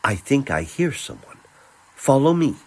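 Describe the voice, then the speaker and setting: A man voicing a secretive, protective young lover in a dramatic storybook reading, hushed and urgent. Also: medium pitch, very animated, unhurried, pitched above the performer's own voice. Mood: alert, urgent.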